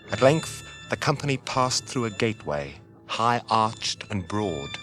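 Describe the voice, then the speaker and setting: A British man giving grave, measured, scripted literary narration in a radio drama. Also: on the low side. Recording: 1981 radio drama with music underneath.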